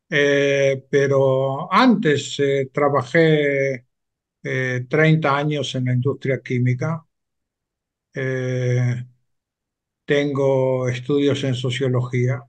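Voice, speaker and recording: A man, a retired sociologist answering interview questions over a video call with a headset, measured and hesitant, with frequent pauses. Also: medium pitch, fairly even intonation. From Argentina. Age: 70s. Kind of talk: slow, thoughtful interview answers. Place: home room with bookshelves.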